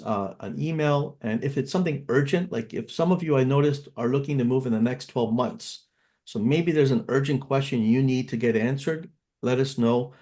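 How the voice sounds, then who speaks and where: A man in his fifties who grew up in Canada leads an online seminar with a mid-range voice. He speaks in a loose, conversational way and often pauses on filler sounds as he explains. He sounds like a financial advisor talking to an audience. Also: medium pitch, darkish tone. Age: 50s. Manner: casual, conversational, with frequent filler words.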